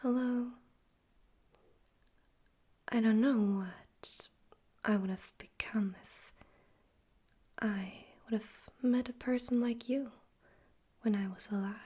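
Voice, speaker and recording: A woman plays a demon overlord of hell in a whispered ASMR roleplay, soft and husky. The delivery is very slow and deliberate, with a teasing, condescending amusement and quiet menace. The tone stays dark and mellow. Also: on the high side, fairly even intonation. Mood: haughty amusement. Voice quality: breathy whisper.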